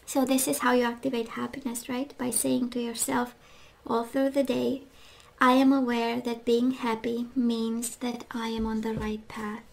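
A woman giving an intimate tarot reading monologue, halting, with phrases that trail into whispers. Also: fairly high pitch, vocal fry, a little mellow, slight background noise. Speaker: tarot reader.

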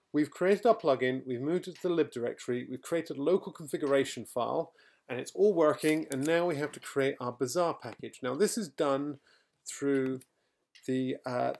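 A middle-aged man recorded at home, talking through a software tutorial as he types, with fillers and quick self-corrections. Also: mid-range voice, creaky. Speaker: software trainer. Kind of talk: screencast coding tutorial. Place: quiet home room.

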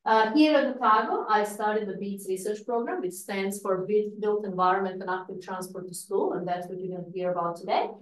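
A middle-aged woman from Croatia giving an academic seminar talk, lively, with frequent fillers. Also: medium pitch, fairly quick, hardly any pauses, slight background noise. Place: seminar room. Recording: echoey room, speaker far from mic.

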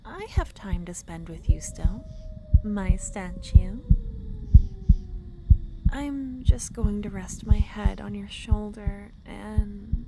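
A woman playing a shy, kind-hearted young witch in an ASMR fantasy romance roleplay, speaking softly and hesitantly, often close to a whisper. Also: medium pitch, lively intonation, slow pace, very noisy. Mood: tender, musing. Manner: soft, breathy, hesitant, often dropping to a whisper. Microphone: close ASMR microphone.

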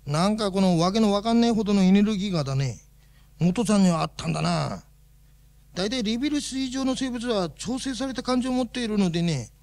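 A man's voice: high voice, lively intonation, fairly quick, a little mellow, no echo. A man playing a folksy, fussy alien official in a radio drama, explaining things in a colloquial way.

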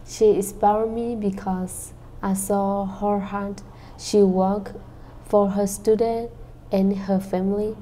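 A teenage girl from Burma reads her personal story as a high school student, in careful, simple phrasing. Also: little pitch movement, takes it slowly, dark tone, a little hiss or hum. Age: late teens.

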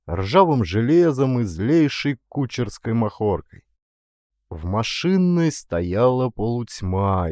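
Expressive low-voiced male storyteller. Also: no echo, clean studio sound. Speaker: audiobook narrator, actor. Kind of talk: expressive literary storytelling narration.

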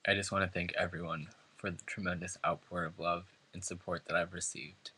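A man's voice: low-pitched, level tone, fairly quick, pushed and bright, slightly rough. A man from New York State reading a prepared eulogy at a funeral, earnest and emotional. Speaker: son giving a eulogy. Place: funeral service before family and friends.

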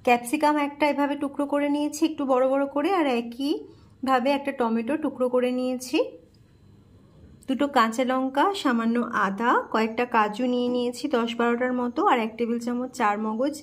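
A woman with a Bengali accent who hosts a cooking channel, calmly talking through a recipe step by step in her home kitchen. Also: sits high, a little fast. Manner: calm, plain instructional tone, listing ingredients.

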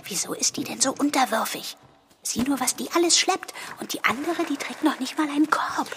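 An indignant, spirited young girl in a children's radio play. Her voice sits very high, with a little background noise. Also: expressive, a little fast, a bit bright. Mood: disapproving. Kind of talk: audio drama acting.

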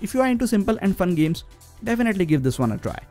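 A man's voice: sits high, very animated, very fast, mellow. A man co-presenting a tech video, reading a scripted review as narration. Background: background music.

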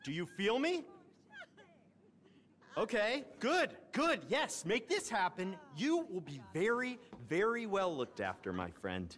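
A man's voice: high-pitched, sing-song, slow pace, a bit bright, long reverb tail, heavily acted. An actor playing a brash, entitled young American crime heir in a video game, talking in cocky salesman patter full of swearing. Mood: eager, self-important. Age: young adult. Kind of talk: video game cutscene acting.

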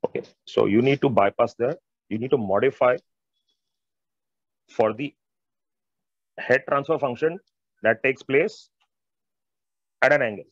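A man's voice: medium pitch, unhurried, long pauses, no echo. A middle-aged man with an Indian accent explains technical material informally and emphatically on a video call, repeating phrases and addressing listeners directly. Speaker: audio expert presenter. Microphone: wired earphones on a video call. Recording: dull band-limited video-call audio.

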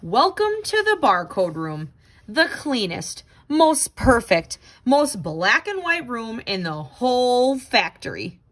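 A woman reading a children's picture book aloud in an expressive, dramatic, exclaiming way. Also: fairly high pitch, slow. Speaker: storybook reader.

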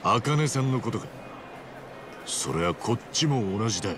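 A man's voice: on the low side, expressive, moderate pace, noisy background, lightly acted. A man playing a brash, good-hearted hero in a Japanese game dub, talking bluntly and informally. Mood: earnest. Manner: blunt, informal, rough-edged speech.

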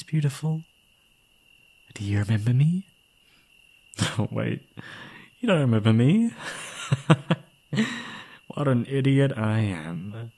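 A young man playing an obsessive, gleeful yandere kidnapper in an intimate ASMR roleplay, doting on the listener in a breathy voice. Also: medium pitch, dark tone. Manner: doting pet names, unhinged chuckling, swinging into whispers.

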